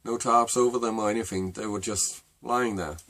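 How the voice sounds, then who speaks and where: A middle-aged man with a Danish accent, a native Danish speaker, telling a personal story to camera in a halting, reflective way. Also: on the low side, steady tone, a bit bright, no echo. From Denmark. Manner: halting and reflective, with many fillers and restarts. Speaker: vlogger.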